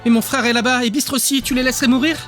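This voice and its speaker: A man's voice: very high voice, steady tone, very fast, fairly bright tone, soft background music, voice pushed up. A man voicing a distressed, defiant young girl in an audiobook, pleading and emotional. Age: young girl as played. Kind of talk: dramatic audiobook dialogue.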